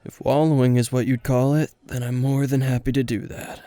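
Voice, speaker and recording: A man playing a weary, gruff veteran hero and teacher at a bar, terse and dry, in an intimate roleplay spoken to the listener. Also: mid-range voice, level tone, brisk, mellow, no room sound, natural voice. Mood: guarded, curt. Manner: terse, guarded, dry, sighing.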